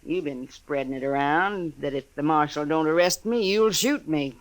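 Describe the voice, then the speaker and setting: A woman's voice: sits low, moderate pace, lightly acted. An actress playing a tough, proud, plainspoken frontier woman with rustic speech in a 1950s western radio drama. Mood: challenging. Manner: rustic, ungrammatical, brassy talk.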